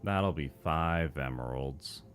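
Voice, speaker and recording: A man voicing a gloomy, weary shopkeeper in an animation, droning with flat, resigned effort at pep. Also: rumbling low, takes it slowly, soft background music. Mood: depressed, dutiful. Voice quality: droning, Squidward-like tone. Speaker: voice actor recording takes. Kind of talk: animated cartoon voice acting.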